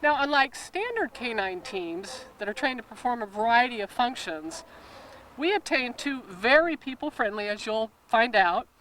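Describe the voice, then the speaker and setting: A woman's voice: on the high side, a bit bright, rough recording. A woman police official delivering a prepared statement at a press conference. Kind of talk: prepared public address.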